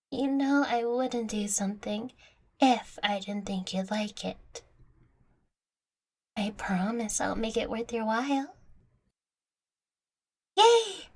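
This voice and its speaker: Slow, high-voiced playful catgirl girlfriend played by a woman. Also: a little mellow. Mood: gentle pleading, then excited. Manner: teasing, coaxing, tender. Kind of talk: intimate audio roleplay addressed to the listener.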